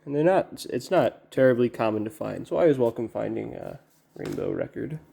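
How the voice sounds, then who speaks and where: A man giving casual, halting unboxing commentary as a collector, musing to himself in a low-key way. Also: expressive, gravelly, warm tone, slightly rough. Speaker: record collector presenting an unboxing.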